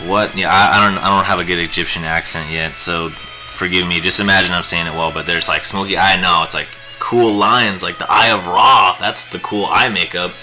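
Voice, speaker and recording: A man's voice: low-pitched, fairly even intonation, moderate pace, over music. A young man hosting a live painting stream, chatting in a rambling, jokey way while he works. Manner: rambling and jokey, lots of fillers. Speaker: livestream host teaching digital painting.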